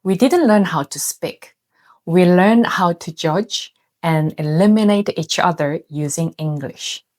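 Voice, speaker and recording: A woman's voice: fairly low pitch, a little mellow. A woman in her thirties from Korea with a Korean accent, giving earnest scripted narration in short emphatic phrases. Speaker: video essay host.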